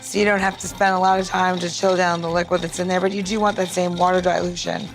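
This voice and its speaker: A woman's voice: fairly low pitch, flat delivery, fast, rough recording. Chatty woman host, music behind. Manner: chatty, informal, frequent "like" and "right?". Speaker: podcast host and bartender. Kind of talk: casual chat, talking through a cocktail demonstration.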